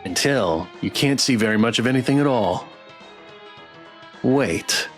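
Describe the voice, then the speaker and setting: A man's voice: medium pitch, animated, a little slow, faint music behind. A man reading a visual novel aloud as a streamer, with a dramatic storyteller delivery. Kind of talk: expressive read-aloud narration.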